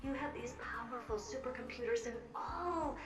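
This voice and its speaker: An actress playing an excitable, dramatic young female talking mirror in a video game, with theatrical delivery. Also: sits high, normal speed, natural voice. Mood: curious. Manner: theatrical, exclaiming. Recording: roomy, distant game audio under loud music.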